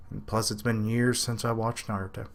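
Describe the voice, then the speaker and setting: A man casually reviewing a comic chapter, rambling and correcting himself, with quick laughs and higher pitch when quoting characters. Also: level tone, gravelly. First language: English. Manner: lifts his pitch to quote characters.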